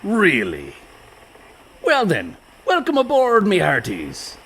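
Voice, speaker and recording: An actor playing a sly, illiterate pirate ruffian in a comic radio play, with mock-jovial menace and rough, ungrammatical pirate talk. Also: male voice, sits high, huge pitch swings, takes it slowly, full character voice. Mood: scheming. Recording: clear background noise.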